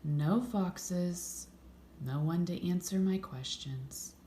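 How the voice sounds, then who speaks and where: Woman voicing an earnest, wistful storybook fox, slow-paced. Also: fairly low pitch, warm tone, reverberant, slight background noise. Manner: questioning, thoughtful. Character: earnest, inquisitive male fox, wistful and searching.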